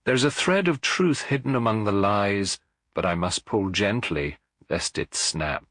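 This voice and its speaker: A man voicing a shrewd, calm Belgian gentleman detective in a mystery audiobook, deliberate, courteous and theatrical. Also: fairly low pitch, no room sound, studio quality. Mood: quietly probing.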